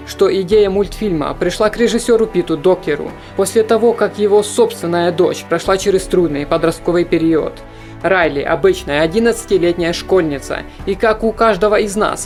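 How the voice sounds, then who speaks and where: A man reading a scripted voice-over about animated films in an even, informative manner. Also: sits high. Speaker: film review narrator. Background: soft background music.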